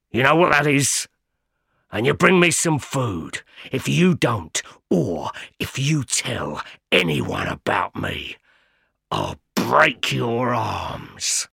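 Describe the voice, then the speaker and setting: A rough escaped convict, put on by a male reader, growls his threats deep and slow in this storybook reading. The delivery drags with menace, and the recording is dry and close. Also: big pitch swings, a bit bright, dry recording, heavily acted. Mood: menacing. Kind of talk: audiobook character voice.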